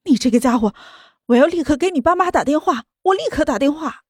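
An outraged mother defends her daughter in a raised, high, insistent voice, performed by a female storyteller. Her scolding rings out loud against a dry, close recording. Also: big pitch swings, normal speed, no room sound. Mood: indignant scolding. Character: indignant middle-aged mother, protective and shrill.

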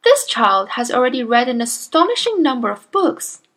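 An earnest young schoolteacher who stays polite but will not back down, as a woman reader plays her. Her voice sits high and lively, with a breathy, husky grain. She presses her point with rising, emphatic lines in a storybook reading. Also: normal speed, heavily acted. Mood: earnest, firm.

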